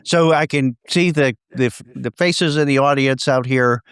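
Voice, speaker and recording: A man hosting a podcast for company founders. He speaks at a middle pitch in a smooth, clear voice and keeps up a chatty, encouraging back-and-forth. The sound is close and dry, with no room echo. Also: moderate pace, a bit bright. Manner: chatty, encouraging, many short affirmations. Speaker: podcast host. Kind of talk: casual podcast interview.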